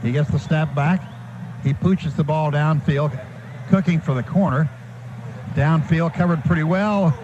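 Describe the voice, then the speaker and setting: A man's voice: fairly high pitch, unhurried, lo-fi sound. A man doing live radio play-by-play of a football game, describing the action in short clipped phrases. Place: stadium broadcast booth. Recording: noisy live stadium radio broadcast.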